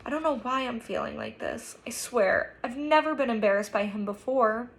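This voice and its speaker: A woman's voice: a little high, moderate pace, creaky, pushed and bright. A woman voicing a defensive, guilt-ridden and tearful teenage girl in a story reading. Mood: upset, confessing.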